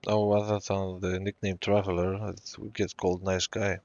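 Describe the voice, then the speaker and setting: A man's voice: deep voice, flat delivery, moderate pace, darkish tone, no echo. A man giving casual playthrough commentary, reacting to a game as he goes. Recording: dull lo-fi mic, game music behind. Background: faint game music.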